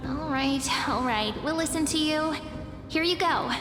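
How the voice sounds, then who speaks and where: A woman's voice: high-pitched, measured pace. An actress voicing a confident, sincere girlish companion in a video game, earnest and breezy in her persuasion. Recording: echoey long reverb, music behind. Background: music.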